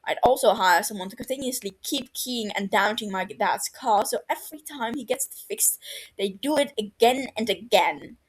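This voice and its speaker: A woman voicing a cheeky, excitable, foul-mouthed British man in his twenties in an audio story. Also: fairly high pitch, normal speed, husky, rough recording. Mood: cheeky, boastful. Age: 20s. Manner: sweary, argumentative, boisterous. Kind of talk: fan fiction audio story dialogue.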